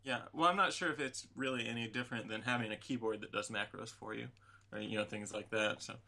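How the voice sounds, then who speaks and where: A man's voice: lowish voice, fairly even intonation, fast talker, vocal fry, sharp tone. A man chatting casually as a gaming podcast co-host, in a tentative, hedging way.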